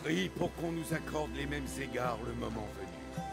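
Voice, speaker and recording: An actor playing a grizzled older warrior and storyteller in a French video game dub, speaking in a measured, reflective way. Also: male voice, mid-range voice, lively intonation, vocal fry. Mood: grave, measured. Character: grizzled old one-eyed Greek warrior, a storyteller. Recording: music behind the voice.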